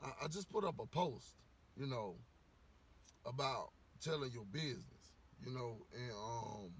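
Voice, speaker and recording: A man ranting casually to camera, a little hoarse from losing his voice, rambling with lots of "you know" and swearing bluntly. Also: medium pitch, lively intonation, measured pace, fairly bright tone, a little hiss or hum. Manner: rambling, repeats words, frequent "you know". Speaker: vlogger giving opinions.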